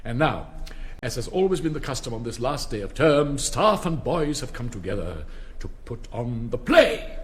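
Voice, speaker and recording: A man's voice: mid-range voice, big pitch swings, normal speed, a little hiss or hum, lightly acted. An older man with an English accent playing a pompous, wistful headmaster in a rambling, donnish manner in a stage comedy. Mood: genial, rambling. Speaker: retiring school headmaster. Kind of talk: stage comedy speech-making.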